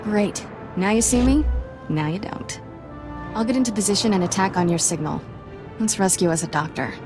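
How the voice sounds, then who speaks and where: A woman's voice: mid-range voice, moderate pace, close to an everyday voice. An actress playing a cocky, wisecracking young female agent in a video game, with a wry, breezy manner. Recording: music playing behind.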